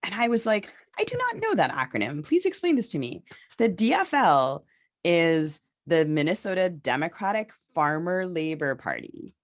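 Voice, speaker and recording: A woman speaks as a podcast co-host in a casual, conversational way. She circles through her thoughts in halting phrases, often dropping in "like" as she goes. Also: lowish voice, sing-song. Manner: hesitant phrasing with frequent "like" and "right". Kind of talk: casual discussion.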